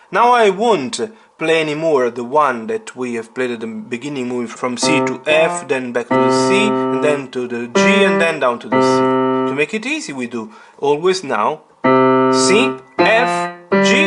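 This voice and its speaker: A man teaches piano in a tutorial style, with a slightly high voice that swings widely in pitch. He explains each step deliberately and emphatically, asking the learner questions as he goes. Piano playing sounds prominently alongside his speech. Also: big pitch swings. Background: piano chords.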